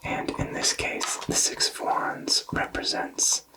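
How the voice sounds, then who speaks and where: A man's voice: far from the mic, low-quality recording. Whispering male tarot reader. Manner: soft, reassuring, explanatory, hesitant pauses. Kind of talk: whispered ASMR tarot reading.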